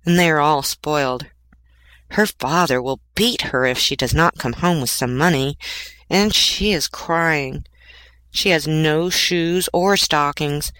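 A woman playing a sorrowful, gentle male statue prince in a storybook, speaking in a measured, solemn, imploring way. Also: sits low, no echo. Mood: gentle pleading. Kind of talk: storybook character voice.

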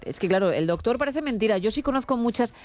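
A woman's voice: on the high side, animated, fast talker, darkish tone. Bantering woman from Madrid. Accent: Madrid Spanish. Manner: bantering, mock-indignant. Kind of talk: casual radio chat.

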